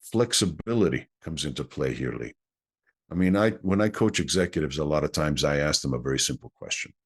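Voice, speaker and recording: A man's voice: rumbling low, lively intonation, a little fast, breathy edge. A man whose first language is Greek, an executive coach answering interview questions in an earnest, explanatory way.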